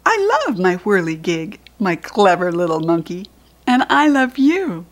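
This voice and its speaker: A woman with an American accent voicing a loving animal mama in a picture book, tender, drawn-out and emphatic. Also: on the low side, animated. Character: loving animal mama praising her baby's gift.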